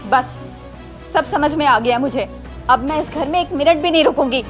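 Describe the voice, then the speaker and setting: A woman's voice: high voice, moderate pace, over loud music, close to an everyday voice. A woman acting an impulsive, easily swayed young wife in a moral-story drama. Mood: upset, angry.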